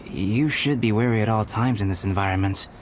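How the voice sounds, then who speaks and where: A man voicing a calm, aloof young artist-scholar in an anime fantasy game, speaking in a measured, formal, articulate way. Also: fairly low pitch, slight background noise, lightly acted. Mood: calm, cautioning. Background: game music.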